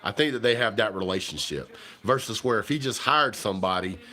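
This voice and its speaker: A man, chatting casually to viewers on a livestream while stuffy and hoarse from a cold. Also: on the low side, lively intonation, a little fast, bright, forceful tone. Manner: rambling, folksy, talks to viewers directly. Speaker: livestream host. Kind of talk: casual livestream chat about football. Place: home kitchen.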